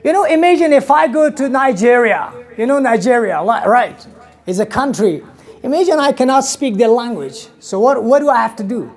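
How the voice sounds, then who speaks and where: A man in his thirties from Afghanistan with an Afghan accent, giving an animated English lesson to a class in a small classroom, asking his students questions. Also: very high voice, moderate pace. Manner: animated, gestures widely, asks the class questions. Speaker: English teacher. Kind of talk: classroom lecture. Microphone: camera across the room.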